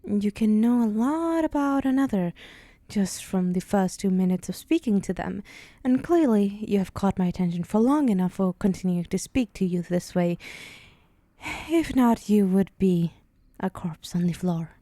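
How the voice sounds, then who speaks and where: A woman voicing a haughty, teasing and menacing demon in an ASMR roleplay, condescending and deliberate, with breathy whispered moments. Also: medium pitch, expressive, warm tone, no room sound, natural voice. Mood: condescending, curious.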